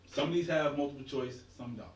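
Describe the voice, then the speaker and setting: A man's voice: medium pitch, a little fast, a loud crowd. Chill guy hosting a podcast quiz, echoey room, mic kinda far. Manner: easygoing, encouraging, laid-back slang. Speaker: podcast host. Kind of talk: casual podcast chat, running a quiz game.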